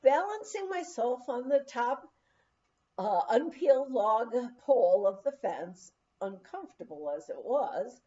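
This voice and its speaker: Female memoir reader: soft-toned, unhurried, animated storytelling with dramatic pauses, dull recording. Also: a little high. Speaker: audiobook reader. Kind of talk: expressive memoir narration.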